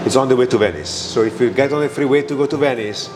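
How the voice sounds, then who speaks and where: A man's voice: medium pitch, fast talker, noisy background. A man from Italy with an Italian accent, a restaurant owner answering interview questions with many fillers and restarts.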